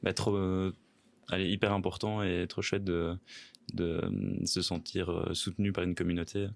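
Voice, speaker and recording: A man hosts a podcast in a very low, deep voice that sounds dry and close to the mic. He stumbles through his questions with lots of fillers and restarts, breaking in often with short friendly comments. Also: little pitch movement, normal speed, vocal fry, dry recording. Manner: hesitant, many fillers and restarts, friendly interjections. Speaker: podcast host. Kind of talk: casual interview chat.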